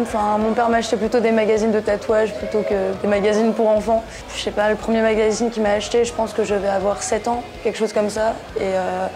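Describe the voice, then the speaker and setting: A twenty-year-old woman from Portugal speaks in a casual interview. Her voice stays quite flat and even, with little rise or fall. She talks hesitantly, pausing and restarting often. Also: mid-range voice. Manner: hesitant, many fillers and restarts. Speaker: interviewee, tattoo shop piercer.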